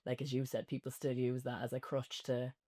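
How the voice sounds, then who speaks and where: A man's voice: medium pitch, little pitch movement, a little fast, warm tone. An Irish man in a casual, unscripted review chat as co-host, rambling and informal in how he talks.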